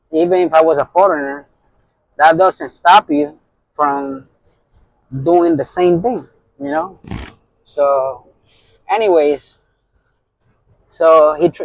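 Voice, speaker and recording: A man's voice: a little high, vocal fry, dry recording, low-quality recording. A middle-aged man with a Puerto Rican accent, a truck driver telling a heated story in a casual vlog, with constant fillers. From New York. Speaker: owner-operator truck driver.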